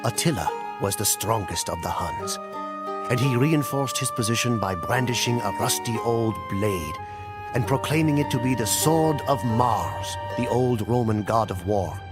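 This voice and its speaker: A man giving solemn, measured, scripted dramatic narration in the manner of a storyteller for a game cutscene. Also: medium pitch, expressive. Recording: music playing under the voice. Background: clear background music.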